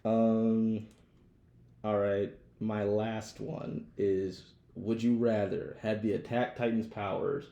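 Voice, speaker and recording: A man's voice: on the low side, vocal fry, dark tone. A young American man chatting casually as a podcast co-host, with loose, jokey, slangy talk. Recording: roomy echo.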